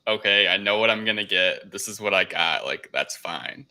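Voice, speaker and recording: A man's voice: deep voice, lively intonation, normal speed, sharp tone. A man co-hosting a podcast, chatting casually and informally. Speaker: podcast co-host. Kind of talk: casual chat.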